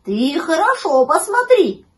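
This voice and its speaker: A woman's voice: very high-pitched, big pitch swings, measured pace, voice pushed up, heavily acted. A woman voicing a naive, trusting little male puppy in a children's storybook, childlike and plaintive. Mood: worried.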